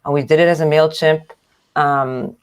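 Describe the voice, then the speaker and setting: Canadian-accented woman, really low voice, chill guest explaining stuff. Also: fairly even intonation, moderate pace, clear, resonant tone. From Hong Kong, raised in Canada. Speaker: guest, news platform founder. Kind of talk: casual interview talk.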